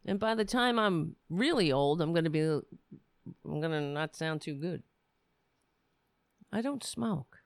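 A man with a raspy voice hosting a political commentary show, reading articles aloud and breaking into heated, sarcastic insults. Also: fairly high pitch, animated, measured pace, long pauses, no echo, studio quality. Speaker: podcast host.

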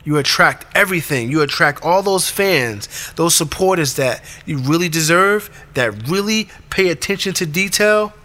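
A man with a raspy voice hosting his own show, delivering an emphatic motivational monologue in short punchy commands. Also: a little high, animated, moderate pace, few pauses, pushed and bright. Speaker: podcast host.

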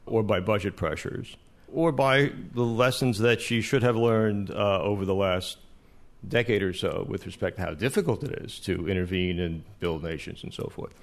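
A man giving measured, analytical commentary as an academic panelist, speaking off the cuff in long qualified sentences. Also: lowish voice. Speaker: panelist, international relations professor. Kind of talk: extemporaneous political analysis on a panel. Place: live event space before an audience.

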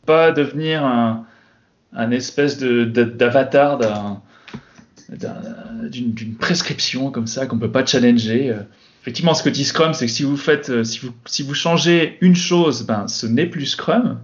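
A man's voice: mid-range voice, unhurried. Guy musing thru agile stuff, lots of euh and restarts, kinda muffled sound. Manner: reflective, many hesitations and restarts. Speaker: Scrum Master guest. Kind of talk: casual podcast conversation.

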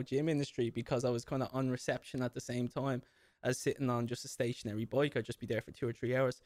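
A man's voice: mid-range voice, a little mellow, no room sound, clean studio sound. An Irish man, a fitness coach guest on a podcast, chatting casually and leaning heavily on "you know.".

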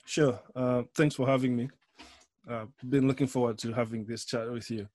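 A middle-aged man with a Malawian accent, giving a personal account as an interview guest in a thoughtful, halting way. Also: medium pitch, fairly even intonation, darkish tone, dry recording. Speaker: interview guest, theology lecturer.

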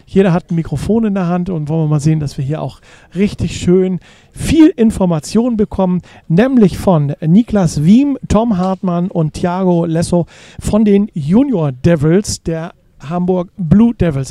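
A man's voice: high voice, expressive, moderate pace, warm tone, dry recording. A man hosting a radio interview show, upbeat as he reads sponsor copy and prompts his guests with short questions.

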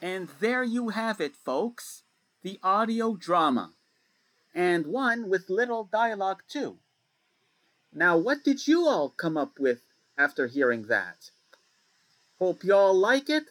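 A man's voice: high-pitched, big pitch swings, slow pace, a bit bright, rough recording. A man hosting radio in a playful, theatrical, showman-like way, addressing the listeners directly. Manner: theatrical, showman-like, with rhetorical questions and repeats. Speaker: radio show host. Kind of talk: playful scripted radio presenting.

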